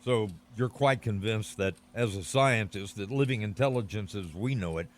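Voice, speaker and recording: An actor playing an eager, courteous radio commentator in a 1930s style radio drama, speaking in a formal announcer's manner. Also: male voice, on the low side, normal speed, slight background noise, close to an everyday voice. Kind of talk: 1930s radio drama, live news interview.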